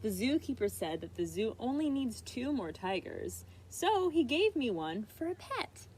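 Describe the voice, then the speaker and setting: Young boy played by a woman for a picture book: earnest, hopeful pleader, very lively intonation. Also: a little high, unhurried, breathy edge, full character voice, low-quality recording. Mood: hopeful, pleading. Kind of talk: picture book read-aloud.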